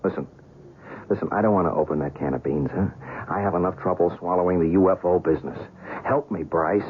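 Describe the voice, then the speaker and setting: A man's voice: deep voice, a little fast, narrow, tinny audio, natural voice. An actor playing a shaken, skeptical and stubborn male reporter in an old-time radio drama, talking in a colloquial, pleading way. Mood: troubled, doubting. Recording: noisy, thin old radio recording.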